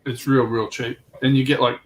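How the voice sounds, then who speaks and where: A man's voice: medium pitch, level tone, normal speed, slight background noise, low-quality recording. A man on a livestream panel giving hobby advice in casual chat, informally thinking aloud.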